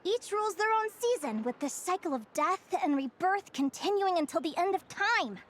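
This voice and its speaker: A woman's voice: extremely high pitch, lively intonation, normal speed. A woman voicing a cheerful young naturalist in a video game, lecturing to children in an encouraging way. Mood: storytelling. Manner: bright, encouraging, teacherly.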